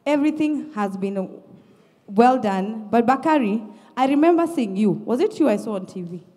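A woman's voice: fairly high pitch, animated, moderate pace, warm tone. A woman giving emphatic, effusive spoken feedback to performers as a judge, recorded in a reverberant auditorium. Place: large indoor theatre auditorium. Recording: echoey auditorium with long reverb.

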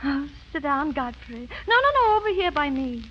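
An actress playing an impulsive, lovesick young heiress in a 1930s radio comedy, childlike and dramatic. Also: female voice, sits high, expressive, moderate pace. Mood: smitten. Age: young adult. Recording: muffled old radio recording, faint hiss.

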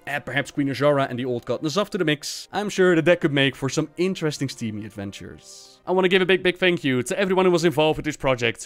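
A man's voice: on the high side, clean tone, no room sound. A man hosting and narrating a scripted story as a voice-over. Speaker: host and narrator.

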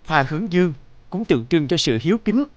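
A man voicing an excitable, loving young father in a cartoon, with an earnest, warm delivery. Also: on the high side, brisk, dry recording, lightly acted. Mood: tender, excited. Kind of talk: cartoon voice acting. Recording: dull, dry, music underneath.

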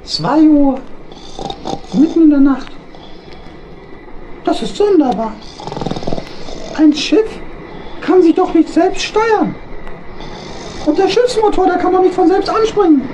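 A woman's voice: very high-pitched, expressive, takes it slowly, long pauses, full character voice. A woman playing an eager little male hedgehog, a deferential ship's mate in a children's radio play. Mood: startled, worried. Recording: noisy, engine and storm ambience.

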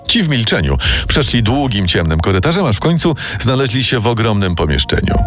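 Guy narrating true crime, animated dramatic delivery, music going behind him. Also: on the low side. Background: music bed.

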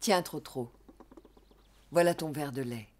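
A gentle mother donkey in a preschool cartoon. Her voice is very deep for a woman and slow. Clear noise sits behind the words. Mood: caring. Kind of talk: French preschool cartoon voice acting.

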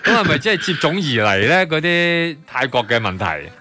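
A bright-voiced man with a Hong Kong Cantonese accent hosts a radio interview. His pitch sits on the low side but moves in lively swings as he asks and echoes back. Music plays behind him. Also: moderate pace. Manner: inquisitive, echoes back what the guest says. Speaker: radio host. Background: background music.

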